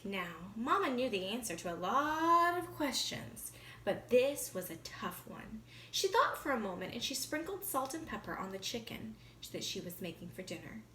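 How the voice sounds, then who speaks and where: Bright, animated woman teacher reading aloud. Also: fairly high pitch, moderate pace, a little hiss or hum. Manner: expressive, asks the listener questions. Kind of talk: children's storybook read-aloud with teaching asides.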